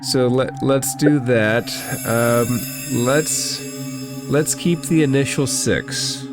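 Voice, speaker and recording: A man's voice: medium pitch, slow pace. A man acting as game master, narrating a tabletop game and talking through rulings aloud. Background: background music.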